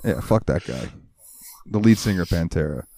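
Podcast co-host, a lower-voiced man, rambling storyteller who swears, dry close mic. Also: animated, moderate pace, warm tone, no echo. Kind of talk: casual chat. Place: US South, central Florida.

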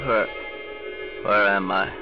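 An actor playing a scheming young nephew, nervous, defensive and arrogant, in a 1940s radio drama. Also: male voice, fairly low pitch, level tone, takes it slowly, over music. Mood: dazed. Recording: muffled old radio recording.

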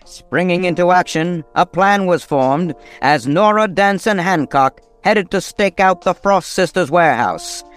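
A man as a high, smooth radio-serial announcer. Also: animated, a little slow, hardly any pauses, fairly bright tone, soft background music. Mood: dramatic. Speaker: narrator. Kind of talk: old-time radio narration.

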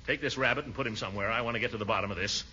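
An actor playing a pompous, soft-hearted middle-aged uncle who blusters in a 1940s radio comedy style. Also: male voice, fairly low pitch, very fast, breathy edge, exaggerated voice. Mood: stern. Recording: dull old radio recording with noise.

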